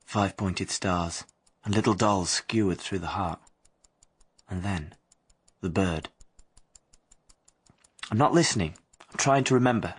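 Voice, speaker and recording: Blunt, husky young husband. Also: male voice, sits low, measured pace, pauses often. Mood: brooding narration. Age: young adult. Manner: blunt, colloquial. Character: brash young working-class husband, defensive and quick-tempered. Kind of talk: radio drama acting and first-person narration.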